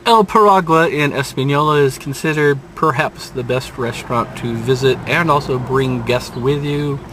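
An older man reviewing restaurants from inside his car, talking in an unhurried, rambling way with dry, self-mocking asides. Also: mid-range voice, fairly bright tone, slight background noise, poor audio. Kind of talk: casual restaurant review vlog. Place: inside a parked car, daytime. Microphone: dashboard camera at close range.

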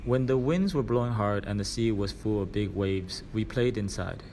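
Low-pitched man reading a story, noisy recording. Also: moderate pace, a little mellow. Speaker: reader. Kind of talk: scripted storybook narration, read aloud.

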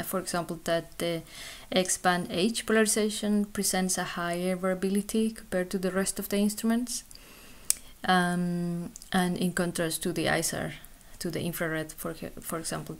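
Low-pitched woman giving a scientific talk. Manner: hesitant delivery with frequent pauses and ums. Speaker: research scientist presenting. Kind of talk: scientific conference presentation, explanatory.

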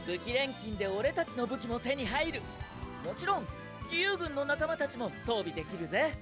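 A spirited young hero swordsman from a fantasy game. The voice is high and boyish with very lively pitch, brash and hearty in rough casual speech, over clear background music. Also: moderate pace. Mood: rousing, heroic. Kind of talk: scripted game promo narration in character.

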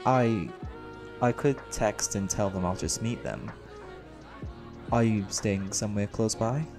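A man's voice: on the low side, slow, music in the background. A man playing a young student doctor with a secret life as a lawman, in an audio roleplay. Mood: friendly, curious. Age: young adult.

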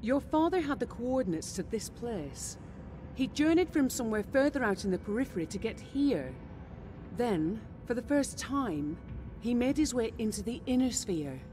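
A woman playing a composed, efficient officer in a sci-fi video game, speaking in a crisp way. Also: a little high, big pitch swings, normal speed, dark tone, a little hiss or hum. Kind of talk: video game cutscene acting. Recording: music under the voice. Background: music.

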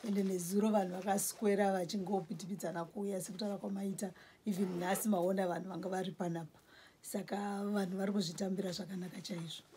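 A woman's voice: medium pitch, level tone, dark tone, a little hiss or hum. A woman in her forties with a Zimbabwean accent, a store owner talking casually about her grocery shop. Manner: lists goods slowly while showing shelves. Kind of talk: casual interview in Shona. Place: small indoor grocery store.

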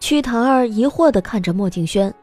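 A woman's voice: on the high side, expressive, normal speed, darkish tone, soft background music. A woman narrating a scripted story as the narrator of an audio drama.

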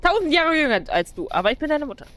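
A woman's voice: sits high, sing-song, quick pace, a bit bright. A young woman, a streamer teasing her co-op partner in casual gaming chat, laughing often.

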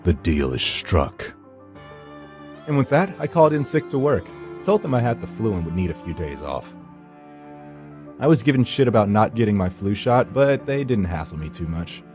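A male actor plays a secretive hired finder from the edge of death. His voice is low and moves around a lot, dry and theatrical as he narrates a horror game. Faint music sits behind him. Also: very animated, normal speed, darkish tone. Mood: ominous. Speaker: narrator and lead character. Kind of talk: first-person horror visual novel narration. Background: background music.

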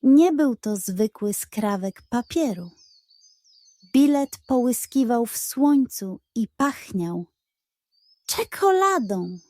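A woman storyteller narrating a scripted bedtime tale for children in an expressive style. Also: on the high side, slow pace, no room sound.

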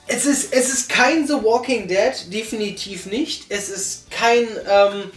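A man's voice: high-pitched, unhurried, fairly bright tone. A young man reviewing a radio drama in casual chat, hesitant, with many fillers and restarts, sitting on a sofa. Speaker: podcast reviewer. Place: living room, sitting on a sofa. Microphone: far camera. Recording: roomy, far from the mic, faint music.

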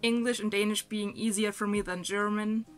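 A young woman talks casually into a nearby camera in a small bedroom, hesitant and full of fillers. She sounds slightly sick, her voice sits at a middling pitch, and faint music plays underneath. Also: fairly even intonation, moderate pace. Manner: chatty, hesitant, many fillers. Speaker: vlogger. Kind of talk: casual talk to camera and food voice-over.

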